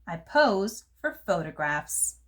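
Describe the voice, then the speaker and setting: Woman reading as a lively, fancy little girl. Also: on the low side, takes it slowly. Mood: proud, delighted. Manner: expressive, theatrical, savoring fancy words. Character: fancy, dramatic little girl who loves glamour. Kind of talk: first-person storybook narration for children.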